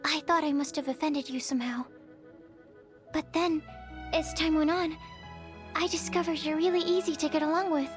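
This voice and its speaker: A woman's voice: high voice, fairly even intonation, normal speed, pauses often, music in the background, natural voice. A woman voicing a shy, bookish young female alchemist in a video game, speaking in a hesitant, polite and earnest way. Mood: hesitant, curious. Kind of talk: English video game character voice acting.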